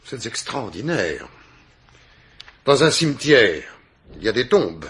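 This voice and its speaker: Through clear noise and clicks on an old radio drama comes a haughty upper-class father. His mid-pitched voice is formal and imperious, with cutting sarcasm. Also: big pitch swings, a little slow, a bit bright. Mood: cold disdain. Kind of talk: French radio mystery drama acting.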